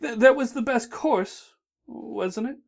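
A woman's voice: medium pitch, big pitch swings, unhurried, darkish tone, pitched above the performer's own voice. A woman doing a fussy, stammering angel. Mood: anxious. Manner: flustered, stammering. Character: fussy, anxious male angel, well-meaning. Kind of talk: audiobook reading.

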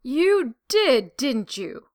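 A woman's voice: sits high, very animated, very slow, voice pushed up, exaggerated voice. A woman voicing a sly, sarcastic male demon who needles his companion with teasing questions in an audiobook reading. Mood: needling.

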